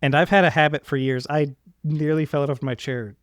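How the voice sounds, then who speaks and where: A man's voice: fairly high pitch, steady tone, a little fast, no echo, studio quality. A man hosting a casual interview podcast, talking in an earnest, effusive and wordy way. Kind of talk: casual, reflective interview conversation.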